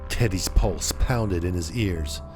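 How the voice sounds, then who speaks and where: A man narrating a scripted suspense story, dramatically, with deliberate pauses. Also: sits low. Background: music.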